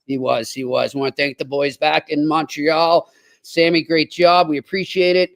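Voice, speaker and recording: A man's voice: fairly high pitch, little pitch movement, moderate pace, gravelly. A man hosting a casual sports chat and putting questions to his co-host.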